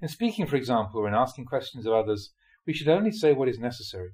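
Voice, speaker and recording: Measured man reading Buddhist teachings aloud. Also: medium pitch, very animated, a little mellow. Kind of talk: scripted audiobook-style reading of dharma talks.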